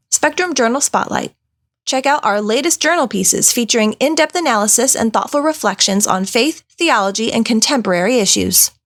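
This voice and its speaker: Woman reading a church news roundup. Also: medium pitch. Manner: even, polished read. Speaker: news host. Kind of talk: scripted news narration.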